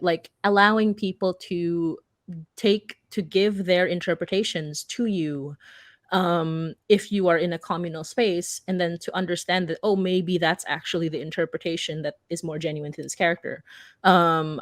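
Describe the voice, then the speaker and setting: A woman speaking unscripted as a writer on a discussion panel, earnest and halting as she rephrases her points. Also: lowish voice, dry recording, clean studio sound. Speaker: panelist, audio drama writer.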